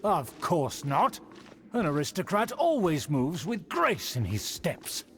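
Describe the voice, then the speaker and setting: A man's voice: on the high side, wildly swooping pitch, slow pace, a bit bright, full character voice. An actor playing a pompous, condescending nobleman in a video game, a schemer who is easily offended. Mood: haughty, self-satisfied.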